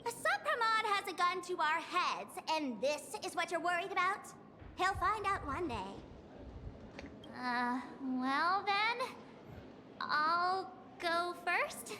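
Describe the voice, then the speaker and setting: A woman's voice: very high voice, sing-song, takes it slowly, bright, forceful tone, slight background noise, exaggerated voice. A woman voicing a playful, cocky young female trickster in a video game, teasing and mock-grandiose. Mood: smug, playful. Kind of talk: video game character acting. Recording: long reverb, game music behind.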